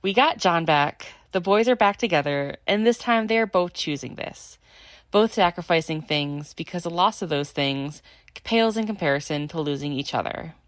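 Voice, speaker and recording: A woman's voice: lowish voice, animated, normal speed, no room sound. A woman reading out earnest, heartfelt fan commentary as a voice message. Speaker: listener sending a voice message.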